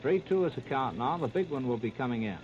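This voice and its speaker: A man's voice: medium pitch, lively intonation, fast. An American man calling baseball play-by-play in 1930s radio style, in clipped running description. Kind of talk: 1930s radio sports commentary. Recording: muffled, very noisy 1939 radio disc.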